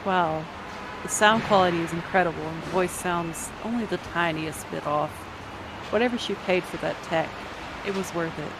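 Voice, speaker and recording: A woman as the narrator of a dark vampire visual novel, reading scripted second-person story narration. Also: on the low side, moderate pace, noisy background, lightly acted. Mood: descriptive. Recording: loud music behind the voice.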